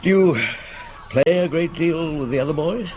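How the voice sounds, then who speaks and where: A man's voice: on the high side, normal speed, darkish tone, slight background noise, close to an everyday voice, poor audio. A man playing a genial, shrewd middle-aged detective in a radio drama, questioning in an avuncular, probing way. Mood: gentle, probing.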